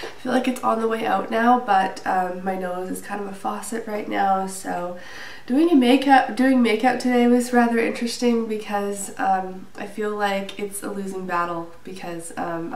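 A woman's voice: medium pitch, vocal fry. A woman with a heavy head cold talks to her camera in a casual, chatty way, with a stuffy, nasal tone and a slightly breathless delivery. The sound is roomy and a bit distant, as though the mic is across a small room. A faint hiss runs underneath her voice. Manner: chatty, with fillers and small laughs. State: a little out of breath. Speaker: beauty vlogger. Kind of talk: casual product review to camera. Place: small indoor room, plain wall.

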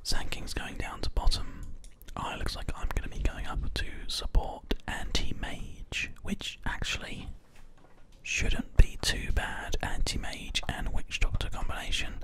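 A man speaks entirely in a breath-only whisper, very close to a binaural microphone. His delivery is gentle, measured and unhurried, in the style of an ASMR gaming host talking the listener through play. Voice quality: unvoiced whisper. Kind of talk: ASMR gameplay commentary. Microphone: close binaural microphone, ear to ear.

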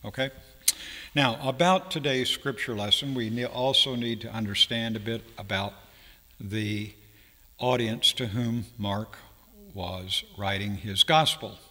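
An older man in his seventies delivers a teaching sermon at a slow, halting pace. His voice is bright and firm, carrying through a church with long reverb. He often pauses in mid-sentence as he explains. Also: lowish voice. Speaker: preacher. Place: church sanctuary, speaking from a pulpit. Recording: reverberant hall, long echo.

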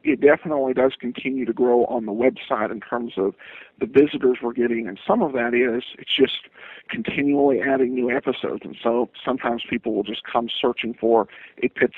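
American man, casual interview guest, hesitant delivery, thin telephone sound, husky gravelly voice. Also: medium pitch, animated, dry recording. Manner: halting, hesitant. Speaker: interview guest, podcaster and writer. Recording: thin phone-like line.

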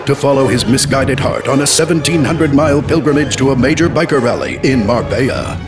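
A man's voice: medium pitch, animated, fairly quick. A man delivering scripted narration as the announcer of an audio trailer. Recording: music bed behind narration.

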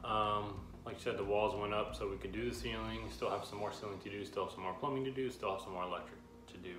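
A man's voice: lowish voice, fairly even intonation, fast. A young man co-hosting a van build vlog, explaining his work in a plain, practical way. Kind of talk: casual explaining and chat. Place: bus interior under construction.

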